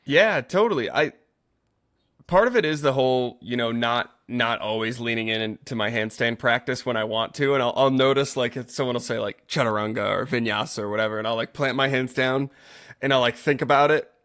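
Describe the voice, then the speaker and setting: A man's voice: medium pitch, brisk, fairly bright tone. A man speaking as a yoga teacher and podcast co-host, explaining things earnestly in casual conversation and often correcting himself as he goes.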